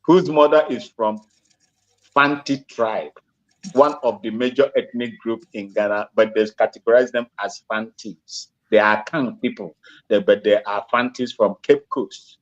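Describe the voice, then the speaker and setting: Slow-speaking man calling in. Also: fairly low pitch, fairly even intonation, dull audio. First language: Igbo. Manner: slow, halting, polite. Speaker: caller. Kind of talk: casual call-in talk.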